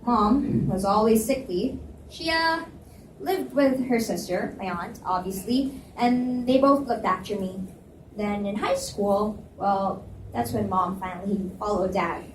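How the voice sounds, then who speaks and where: A woman reader playing a proud, defensive and easily flustered young college student in a romance novel dialogue reading, with halting conversational delivery. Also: medium pitch, natural voice. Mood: guarded, hesitant. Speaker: reader at a live book reading. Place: library event space, indoors. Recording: echoey room, distant mic, faint noise.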